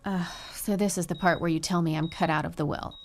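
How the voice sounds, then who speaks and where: An actress playing a jaded, flippant adult daughter in an audio suspense drama, sarcastic and impatient. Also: female voice, fairly low pitch, steady tone, a little fast, slight background noise, natural voice. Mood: sullen, impatient.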